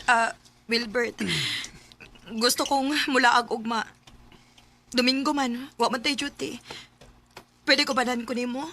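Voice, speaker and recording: A woman's voice: fairly high pitch, fairly even intonation, slow pace, long pauses, pushed and bright, slight background noise. A woman acting in a radio drama as a playful, self-conscious young woman, with a Cebuano Bisaya Filipino accent. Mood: hurt, hopeful. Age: young adult.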